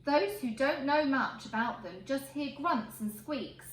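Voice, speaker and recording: Slow, lively woman reading a children's story. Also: sits high. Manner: expressive, emphatic phrasing for young listeners. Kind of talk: animated picture-book read-aloud. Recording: roomy echo, faint background noise.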